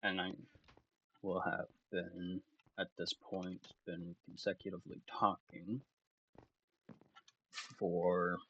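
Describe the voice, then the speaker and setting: A man's voice: deep voice, monotone, slow pace, pauses often, fairly bright tone, lo-fi sound, slight background noise. A man giving casual commentary while playing a game, thinking aloud as he goes. His voice is starting to tire. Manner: thinks aloud, meandering and self-talking. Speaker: let's play gamer.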